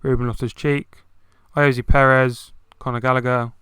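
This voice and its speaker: A man's voice: mid-range voice, level tone, moderate pace, fairly bright tone, clean tone, no room sound. A young man co-hosting a casual football chat, talking loosely with many fillers. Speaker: podcast co-host.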